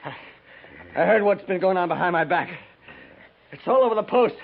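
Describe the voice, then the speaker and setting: An actor playing a furious, jealous cavalry lieutenant, heated and blustering, in 1950s radio drama style. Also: male voice, high voice, expressive, moderate pace, gravelly, full character voice. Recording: tinny narrow-band 1950s radio recording.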